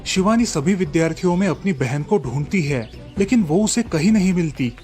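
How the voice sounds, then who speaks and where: A man's voice: high-pitched, lively intonation, fairly quick, darkish tone, faint music behind. A man reading scripted storybook narration for a cartoon tale.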